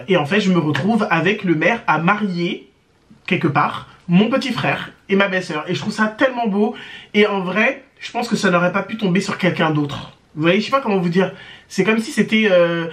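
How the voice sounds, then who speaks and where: Woman doing a storytime vlog, rambly, lots of "euh"s and restarts, talks right to the viewers. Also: on the low side. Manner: hesitant, many fillers and restarts; chatty, addresses viewers directly. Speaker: storytime vlogger. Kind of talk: casual storytelling to camera.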